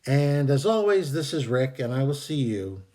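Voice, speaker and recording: A man hosting a video, calmly reading out and explaining a meditation technique with some hesitant fillers, while fighting a cold that is costing him his voice. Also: mid-range voice. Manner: calm, measured, with hesitant fillers. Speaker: host.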